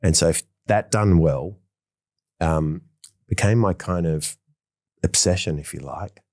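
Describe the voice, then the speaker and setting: A man's voice: low-pitched, unhurried, darkish tone, no echo, clean studio sound. A middle-aged man, a fashion designer talking in a casual interview, enthusiastic and rambling with many fillers and restarts. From Australia.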